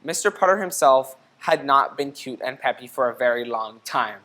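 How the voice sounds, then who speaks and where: A woman reading a children's storybook aloud in an expressive, teacherly way, pausing to put questions to young listeners. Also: very deep voice. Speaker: storybook reader.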